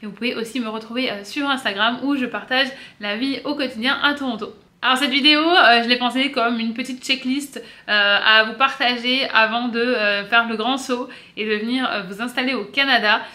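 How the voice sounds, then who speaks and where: A woman from south-east France with a French accent, giving casual vlog advice in a chatty, halting way with frequent self-corrections. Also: on the high side, fairly even intonation, sharp tone. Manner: chatty, hesitant, self-correcting with many pauses. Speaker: vlogger. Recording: roomy echo.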